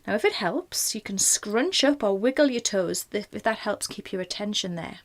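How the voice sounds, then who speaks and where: A woman leading a guided meditation in a gentle, informal, reassuring manner. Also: medium pitch, expressive, moderate pace. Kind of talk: guided body scan meditation.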